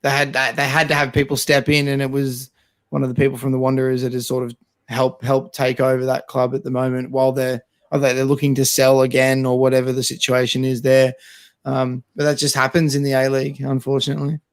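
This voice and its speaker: An Australian man on a football podcast, his voice a bit raspy and hoarse after a long night, talking in a relaxed, agreeable way. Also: mid-range voice, a little fast, no room sound. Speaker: podcast co-host. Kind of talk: casual sports chat.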